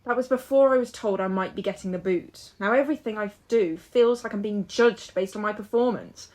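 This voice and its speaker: A woman's voice: mid-range voice, fairly quick, darkish tone, natural voice. A woman acting in an audio drama as a restless, hopeful young woman who chats in a musing, playful way. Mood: restless, discontented.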